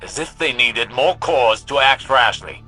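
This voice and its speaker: An actor playing a concerned, weary mentor in a video game, earnest and admonishing. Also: male voice, medium pitch, measured pace, sharp tone, faint music behind, rough recording. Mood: urgent concern. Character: concerned, weary mentor, cautioning male ally.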